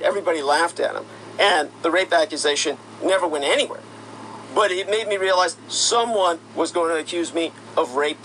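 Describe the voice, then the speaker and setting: A man's voice: a little high, a bit bright, slight background noise, rough recording. A man delivering an indignant, accusatory monologue that builds into heated outbursts. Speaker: commentator. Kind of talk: monologue rant.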